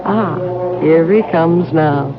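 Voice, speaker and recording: A woman's voice: low-pitched, takes it slowly, a crowd in the background. A woman playing an affectionate, candid young woman in love in a 1970s radio drama. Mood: teasing, affectionate. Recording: muffled, noisy old radio recording.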